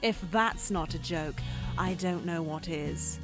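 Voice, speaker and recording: A woman playing an aloof, blunt young skeptic in a visual novel game, speaking in a dry deadpan. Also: on the low side, slow pace, music in the background.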